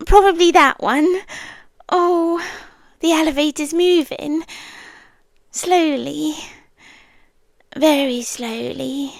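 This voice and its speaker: A young British woman performing a scripted ASMR girlfriend roleplay in a soft, whispery, intimate way, close to the mic. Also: high voice, takes it slowly, vocal fry, pauses often, no room sound.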